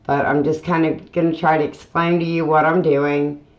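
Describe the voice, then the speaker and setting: A woman hosting a craft channel, talking through a tutorial in a casual voiceover with plain, unhurried step-by-step explaining. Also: deep voice, flat delivery. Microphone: voiceover mic.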